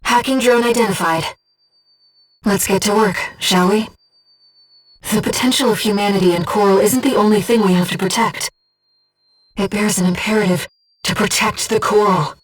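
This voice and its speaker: A woman voicing a calm, devoted female mind-voice who guides a mech pilot in a video game, speaking in a measured, precise way. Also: medium pitch, husky, far from the mic, close to an everyday voice, rough recording. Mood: urgent, alert. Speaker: mission support operator. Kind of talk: video game voice acting, mission operator dialogue.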